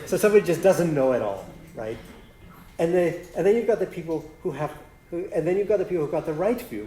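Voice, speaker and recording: A philosophy professor whose first language is Polish fields audience questions in a lecture hall. His voice sits a little high but sounds mellow, and he thinks aloud, restarting phrases and tagging points with "right?". The sound is roomy with echo. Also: a little hiss or hum.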